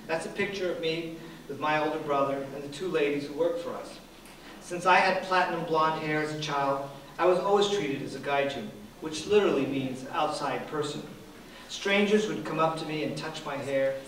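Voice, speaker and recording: A man's voice: a little high, normal speed, a little hiss or hum. Middle-aged man reading in a big echoey hall. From Japan. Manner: dry, self-deprecating reading with soft laughs. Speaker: author reading from his book. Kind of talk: reading aloud from a memoir. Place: large public hall. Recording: very echoey hall from far off, faint ambience.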